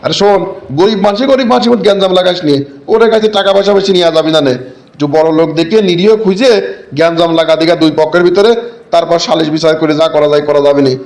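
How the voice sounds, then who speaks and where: A man's voice: sits high, steady tone, racing, darkish tone, a little hiss or hum. A man voicing a crafty, greedy village boss in a comic cartoon, bossy, with a rural Bangladeshi Bengali accent. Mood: greedy, approving.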